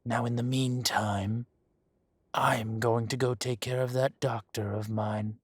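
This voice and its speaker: A smug, husky dragon crime boss. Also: male voice, medium pitch, flat delivery, no room sound. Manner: slow, smug, teasing, drops to whispers. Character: smug dragon mafia boss, teasing and menacing. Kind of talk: audio roleplay acting.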